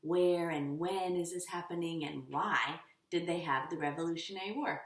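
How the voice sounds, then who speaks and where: A woman teaching children, instructive and encouraging, posing questions and pausing for answers. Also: lowish voice. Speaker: elementary school teacher. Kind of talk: children's lesson with questions and pauses. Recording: roomy echo, slightly distant mic.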